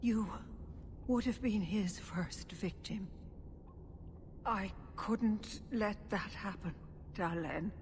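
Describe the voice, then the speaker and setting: A woman as a slow, grave elven Keeper. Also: medium pitch, a little mellow, natural voice. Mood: grave, resolute. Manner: grave, measured, resolute. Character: solemn, self-sacrificing older elf woman, clan Keeper. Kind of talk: video game dramatic acting. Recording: clear background noise, ambience.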